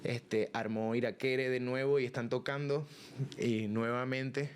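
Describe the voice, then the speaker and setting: A man's voice: medium pitch, a little slow, a little hiss or hum. A man with a Caracas accent, a guest musician chatting animatedly in an interview, often restarting his sentences. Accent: Venezuelan, Caracas. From Venezuela. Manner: animated, sings and scats rhythms, frequent restarts. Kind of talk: casual interview chat.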